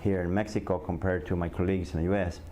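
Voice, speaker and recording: Deep-voiced man, a surgeon. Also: level tone, fast talker, warm tone. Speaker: orthopedic surgeon, interviewee. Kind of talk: casual interview talk.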